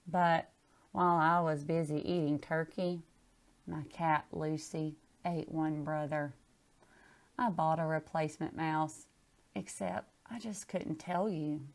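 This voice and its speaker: A woman's voice: sits low, fairly even intonation, slow, vocal fry. A woman chatting casually and reading a picture book aloud. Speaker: storybook reader.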